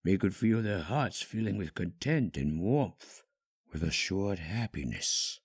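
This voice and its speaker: Deep, husky, expressive male storyteller. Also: measured pace. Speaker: fan-fiction narrator. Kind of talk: dramatic scripted story narration.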